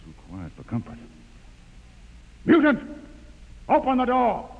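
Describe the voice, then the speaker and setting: A harsh, barking lieutenant. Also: male voice, very high voice, wildly swooping pitch, slow, gravelly, narrow, tinny audio, exaggerated voice. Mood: tense. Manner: barking orders, commanding. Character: arrogant military lieutenant, harsh expedition leader. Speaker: actor in a radio drama. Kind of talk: 1950s radio drama acting. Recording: hissy old radio broadcast with reverb.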